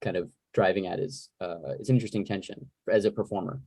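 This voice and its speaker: Lowish, dry-sounding male moderator. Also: fairly even intonation, fast talker, warm tone, no room sound. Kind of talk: casual interview moderation. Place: video call.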